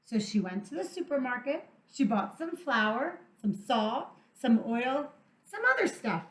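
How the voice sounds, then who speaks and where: A woman reading a storybook aloud to young children as a storytime teacher, theatrical and deliberate, drawing out words for emphasis. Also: sits high, very animated. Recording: echoey room sound.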